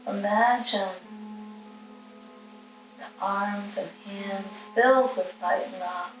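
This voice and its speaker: A woman leading a guided meditation, giving soothing, gentle instructions in short, spaced phrases. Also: medium pitch, takes it slowly. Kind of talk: guided meditation, spoken slowly with long pauses. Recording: roomy, distant mic, music underneath.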